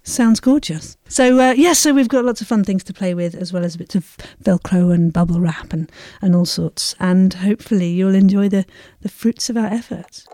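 A woman's voice: medium pitch, normal speed, few pauses, dark tone, no room sound. A woman who directed an audio drama, chatting playfully on a podcast. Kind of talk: casual podcast chat.

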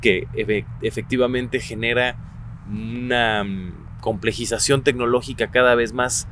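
Musing male interviewer, mid-pitched voice. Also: steady tone, unhurried, fairly bright tone, slight background noise. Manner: reflective, muses aloud and tags questions with "¿no?". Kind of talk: casual conversation.

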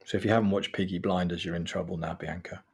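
A man in his forties from England talks on a podcast with a somewhat low, husky voice. He is chatty and self-deprecating. He mixes advice with stories from his own working life. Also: fairly even intonation, fast talker. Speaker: guest. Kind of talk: podcast interview.

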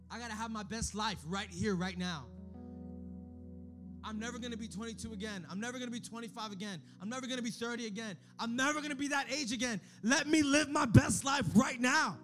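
A young man preaching a sermon, moving from hushed, close talk to loud, shouted calls to the crowd. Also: high-pitched. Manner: shifts between hushed intimacy and shouted exhortation. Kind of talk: energetic sermon. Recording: faint music behind.

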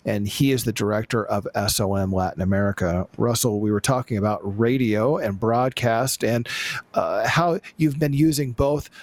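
A man's voice: fairly low pitch, moderate pace, no room sound. A man hosting a radio show, interviewing guests in a conversational way. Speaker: radio host.

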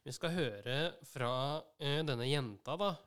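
A man co-hosting a podcast in casual conversation, speaking in a hesitant, halting way. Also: mid-range voice, a bit bright, smooth. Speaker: podcast co-host.

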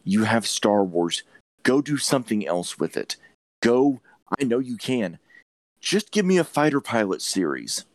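A man's voice: medium pitch, very animated, a little slow, no echo. A man hosting a podcast, discussing films casually in an emphatic, opinionated way and swearing freely. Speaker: podcast host.

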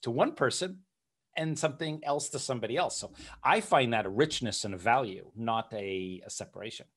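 A man hosts an interview with eager energy and encourages his guest. His voice sits at an ordinary middle pitch. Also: brisk, a bit bright. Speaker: interview host. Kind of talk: podcast interview.

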